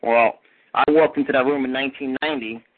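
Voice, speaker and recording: A man in his forties with a New York accent, giving an energetic motivational business talk as a coach on a teleclass call. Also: mid-range voice, quick pace, slight background noise. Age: 40s. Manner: energetic, punchy motivational delivery. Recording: tinny phone line.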